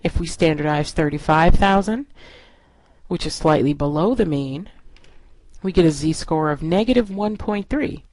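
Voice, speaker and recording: Low-pitched woman instructor, close dry sound. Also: lively intonation, unhurried, darkish tone, no echo, poor audio. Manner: explanatory, step-by-step. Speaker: statistics instructor. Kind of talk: instructional lecture narration.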